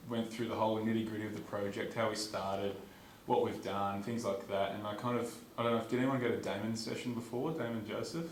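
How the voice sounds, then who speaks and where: A young man from Perth with an Australian accent, giving an informal, rambling talk to a conference audience in a lecture theatre, with many ums and restarts. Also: fairly low pitch. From Perth, Western Australia. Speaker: student speaker at a conference. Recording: echoey hall, distant, noisy.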